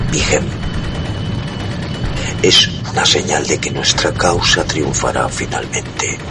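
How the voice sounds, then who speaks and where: An actor playing a grave, fatalistic wartime leader in a radio drama, declaiming slowly and prophetically. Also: male voice, extremely low pitch, far from the mic, heavily acted.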